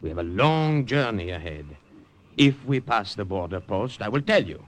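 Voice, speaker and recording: A man's voice: on the low side, animated, normal speed, natural voice. A man acting a suave, wry poet spy and narrator in a 1950s-style radio drama, with a courtly, slightly hesitant manner. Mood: firm. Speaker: narrator and lead character. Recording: noisy old-time radio recording.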